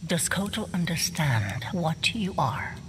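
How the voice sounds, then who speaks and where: A woman voicing a cold, threatening fighter in a breathy whisper for a fighting game. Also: on the low side, sing-song, slow pace, a bit bright, full character voice. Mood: quiet menace. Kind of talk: fighting game character dialogue. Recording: clear game background noise.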